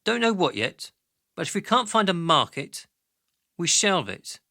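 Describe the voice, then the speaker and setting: A brash, domineering male executive in a radio play. His voice is forceful and highish, swinging freely up and down as he breezily runs the room. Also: very animated, a little slow, no echo, studio quality, exaggerated voice. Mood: breezy, brash. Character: brash, crude male pharmaceutical boss chairing a meeting. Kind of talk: radio drama acting.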